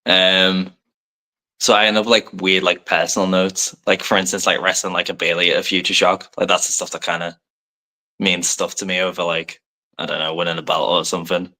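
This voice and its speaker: A young man from Liverpool with a soft English accent and a slight American tinge, a wrestler chatting loosely as a guest, full of fillers and self-deprecating asides. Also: sits low, level tone, brisk. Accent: soft English, light Americanisms. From Liverpool, England. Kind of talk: casual podcast interview.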